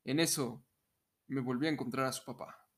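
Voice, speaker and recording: A man's voice: medium pitch, fairly even intonation, moderate pace, a bit bright. A young Mexican man with a mid-range voice co-hosts a podcast in a casual, comedic way. He is jokey, and laughter breaks into his talk easily. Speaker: podcast co-host. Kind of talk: casual comedic chat and story reading.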